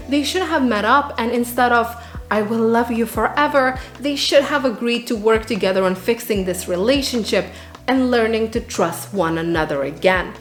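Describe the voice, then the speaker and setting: A woman giving an earnest, opinionated book review with emphatic phrasing, in the manner of a reviewer speaking to followers. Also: on the high side. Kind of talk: dramatic spoken book review. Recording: echoey room with music underneath. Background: background music.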